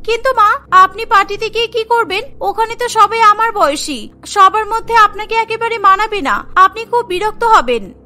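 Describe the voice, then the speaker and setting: A woman voicing a polite young daughter-in-law in a cartoon, reasoning gently and explaining herself. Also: extremely high pitch, normal speed. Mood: gently objecting. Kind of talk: cartoon voice acting. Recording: music bed under the voice.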